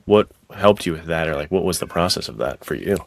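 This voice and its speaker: A man hosting a podcast, chatting informally and thinking aloud in a halting way as he asks about writing. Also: deep voice, expressive, vocal fry. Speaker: podcast host and thriller novelist. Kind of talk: casual interview chat.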